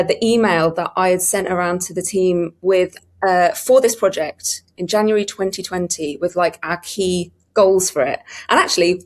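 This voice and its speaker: A woman speaks as an interview guest in a conversational way. Her voice sits at a middle pitch, and she talks with enthusiasm, putting emphasis on her points. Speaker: audiobook publishing interviewee.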